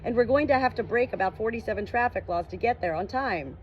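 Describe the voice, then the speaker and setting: A woman's voice: fairly high pitch, fast talker, a little hiss or hum, voice pushed up, natural voice. A woman voicing a curious, earnest, awkward teenage boy with informal questions in an audiobook. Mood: urgent. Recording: dull, muffled lo-fi audio.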